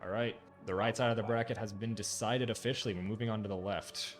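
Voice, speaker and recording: A man's voice: on the low side, steady tone, fast. A young man hosting an online game tournament in casual, upbeat talk with fillers. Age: teens. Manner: upbeat, fillers, laughs easily. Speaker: tournament host. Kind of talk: casual stream chat. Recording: game music behind.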